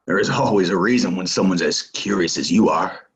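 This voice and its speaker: An actor playing a menacing, distrustful gunslinger stranger in a Western audio play, talking in a terse, probing way. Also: male voice, sits low, fairly quick, natural voice.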